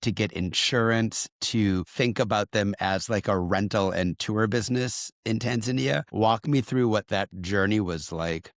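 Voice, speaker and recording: A male podcast host with a very deep voice asks measured, probing questions. His delivery is steady, and the sound is close and dry. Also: dry recording. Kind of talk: interview questions.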